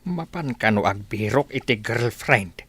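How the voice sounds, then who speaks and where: Woman as a low-voiced, blunt older village uncle. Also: lively intonation, a little slow, breathy edge, exaggerated voice. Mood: matter-of-fact. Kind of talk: amateur village radio drama acting.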